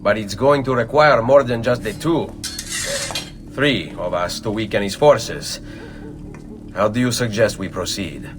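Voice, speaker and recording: An actor playing a resolute, courteous young Renaissance assassin with an Italian accent in a video game. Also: male voice, on the low side, music in the background, close to an everyday voice. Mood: businesslike, determined. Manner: measured, courteous. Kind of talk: video game cutscene acting.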